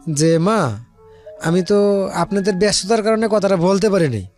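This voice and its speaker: High-pitched Bangladeshi man telling his story. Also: moderate pace, darkish tone, faint music behind. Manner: rambling, repeats phrases, quotes dialogue. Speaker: guest telling their life story. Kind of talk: personal storytelling in casual colloquial speech.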